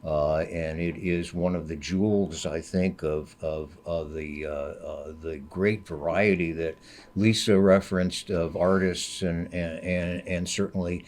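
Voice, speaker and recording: An older man with a deep, resonant radio voice, a public radio host chatting casually, unhurried and full of fillers. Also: flat delivery, darkish tone, a little hiss or hum. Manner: unhurried, many uh fillers, jokey. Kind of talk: casual radio studio chat.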